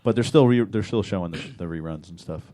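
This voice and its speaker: A man's voice: deep voice, fast talker, warm tone. A man co-hosting a casual comedy podcast, rambling and teasing as he promotes the show. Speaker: podcast co-host. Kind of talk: casual comedy radio chat.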